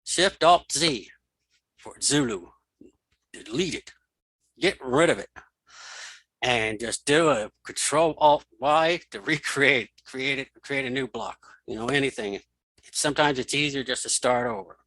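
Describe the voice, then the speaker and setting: A man giving a casual spoken software walkthrough as an instructor, thinking aloud informally as he demonstrates. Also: mid-range voice, measured pace, no echo, rough recording. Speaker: screen reader tutorial instructor.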